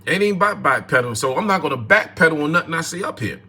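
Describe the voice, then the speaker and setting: A man nearing fifty, speaking into his phone on a casual livestream. His voice is bright and forceful with a husky edge. He talks in a repetitive, sermon-like way and greets viewers in the chat by name. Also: fairly high pitch, expressive, fast. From North New Jersey, USA. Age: 40s. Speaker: livestream host.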